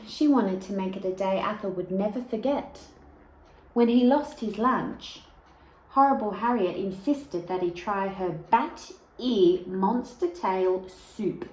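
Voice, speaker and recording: A woman reading a children's picture book aloud like a teacher, expressively and deliberately, with emphasis on key words and asides to young listeners. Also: medium pitch. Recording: echoey room with faint background noise.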